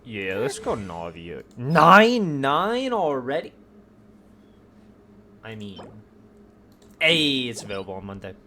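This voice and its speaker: A man's voice: mid-range voice, wildly swooping pitch, slow, pauses often, pushed and bright, slight background noise. A man giving casual gameplay commentary, muttering to himself in short phrases as he plays. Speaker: game streamer. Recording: game music behind. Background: video game music and effects.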